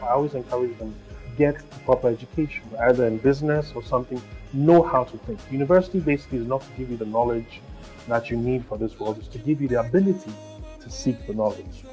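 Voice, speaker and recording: A man from Ghana, a musician and actor giving relaxed answers as an interview guest. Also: medium pitch, fast, faint music behind, poor audio.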